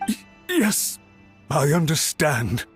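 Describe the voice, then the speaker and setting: A nervous government underling in a video game. His voice sits fairly high, deferential and earnest, full of apology to his betters, with music playing behind him. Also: big pitch swings, takes it slowly. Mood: submissive. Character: anxious, servile male official pleading to superiors. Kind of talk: scripted video game voice acting. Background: background music.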